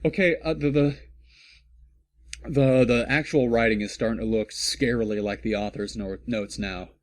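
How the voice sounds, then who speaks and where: A man's voice: mid-range voice, fairly even intonation, normal speed, no room sound. A man hosting a comedic dramatic reading of fan fiction, exasperated and stumbling over words, with asides.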